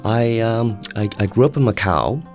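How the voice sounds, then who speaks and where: A man in his fifties whose first language was Cantonese, speaking as an interview guest in a thoughtful, halting way. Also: on the low side, expressive, music in the background. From Canada. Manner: thoughtful, halting, with frequent fillers and restarts. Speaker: interview guest, public servant. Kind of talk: casual interview chat.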